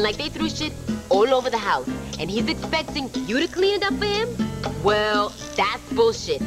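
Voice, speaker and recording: High-voiced, brash woman TV astrologer. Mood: sassy, fed up. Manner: blunt, sassy, profane, acts out arguments. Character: brash, foul-mouthed, streetwise woman TV astrologer. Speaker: TV horoscope host. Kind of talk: comic horoscope monologue. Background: loud music.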